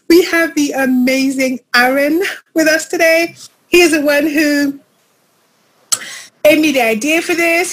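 Gushing high-voiced woman podcast host. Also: a bit bright. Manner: gushing, effusive, voice wobbling with emotion. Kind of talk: emotional casual podcast chat.

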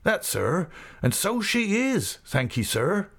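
A man voicing a rough, big-hearted seafaring uncle in an audiobook, effusive and excited, with a rustic East Anglian accent. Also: sits high, takes it slowly, pitched above the performer's own voice, heavily acted. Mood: tender, fervent. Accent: East Anglian English rustic dialect. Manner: rapturous, effusive, breathlessly excited. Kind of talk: character voice in audiobook reading.

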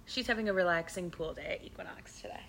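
A woman's voice: lowish voice, fast, gravelly, crowd noise. A young woman co-hosting a podcast in casual chat, a bit sniffly from allergies, chatty and laughing. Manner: chatty, laughing, drops to a whisper for secrets. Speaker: podcast co-host.

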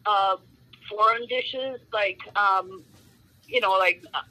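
A woman's voice: mid-range voice, slow, bright, forceful tone, poor audio. A woman, a guest author chatting in an interview, rambling and full of fillers like um and you know. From Canada. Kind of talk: casual interview chat.

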